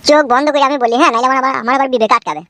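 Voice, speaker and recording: A man's voice: extremely high pitch, very fast. A man voicing a simple, sheepish but stubborn village son-in-law in a cartoon, bumbling and protesting. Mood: reluctant. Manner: hesitant, bumbling, whiny protesting. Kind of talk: Bengali cartoon acting.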